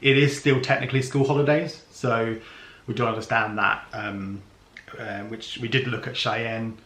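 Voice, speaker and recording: Vlogger: man, mid-pitched, chatty and explanatory, roomy echo. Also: fairly even intonation, moderate pace, fairly bright tone. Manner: chatty, explanatory, many ums. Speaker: travel vlogger. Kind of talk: casual planning chat. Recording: echoey room.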